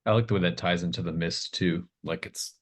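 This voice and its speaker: A man with a deep voice acts as game master. He talks casually and encouragingly, in an easygoing way, steering players through their ideas. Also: fairly even intonation, a little fast.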